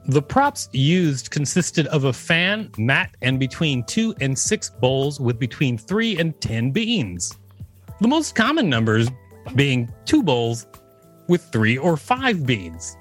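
A man's voice: medium pitch, sing-song, slow pace, faint music behind. A male podcast co-host reading an encyclopedia article aloud, with an amused, casual manner.

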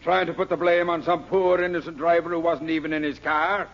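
A man's voice: on the high side, little pitch movement, brisk, creaky. An actor playing a blustery Irish policeman with an accusing, folksy brogue in a 1940s radio comedy. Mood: scolding, blustery. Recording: muffled old 1940s radio recording.

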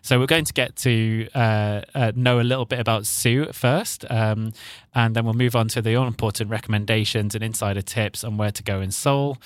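A man's voice: fairly low pitch, steady tone, moderate pace, clean tone, dry recording, studio quality. A man co-hosting a podcast, welcoming the guest in an upbeat way with a few hesitant fillers. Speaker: podcast co-host. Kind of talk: podcast introduction.

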